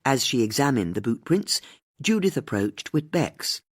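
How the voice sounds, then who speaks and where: Fiction audiobook narrator: lively intonation, lightly husky tone, dry close sound. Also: mid-range voice, normal speed, no echo.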